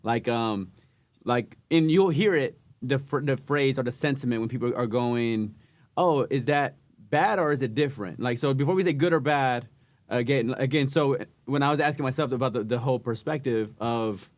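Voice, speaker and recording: A man hosting a podcast, talking in a halting way with many restarts as he searches for words. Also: mid-range voice, no room sound. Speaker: podcast host. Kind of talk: casual podcast interview.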